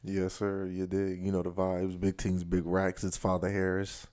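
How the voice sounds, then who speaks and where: A young man with a low, deep, monotone voice, talking in a relaxed, slang-heavy way as a podcast guest. His speech is unhurried and easygoing, and it sounds a little roomy and echoey. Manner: laid-back slang, repeats "you dig". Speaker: podcast guest, rapper and label owner. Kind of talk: casual chat. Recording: echoey room.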